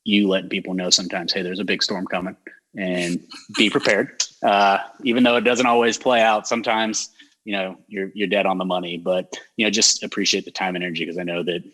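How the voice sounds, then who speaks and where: A man with a neutral American accent hosts a casual community chat, his voice low and a little husky. He talks in a loose, rambling way, full of fillers and restarts. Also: little pitch movement, brisk, a bit bright, rough recording. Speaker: mayor hosting a community talk show.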